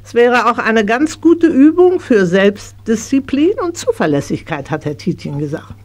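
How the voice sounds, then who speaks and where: A woman's voice: fairly high pitch, sing-song, normal speed. An actress playing a stern but loving middle-aged mother, admonishing and reluctant, in a children's radio play. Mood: grudging consent.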